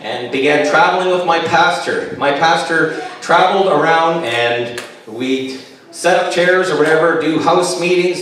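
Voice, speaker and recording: A man's voice: on the high side, a bit bright. A Canadian man in his late fifties preaching as a pastor, telling personal stories in a folksy, joking way. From Kitchener, Ontario, Canada. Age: late 50s. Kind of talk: sermon with personal testimony, anecdotal. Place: chapel, on stage at a pulpit. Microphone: stand mic over speakers, recorded by far camera. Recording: very echoey chapel, distant camera pickup.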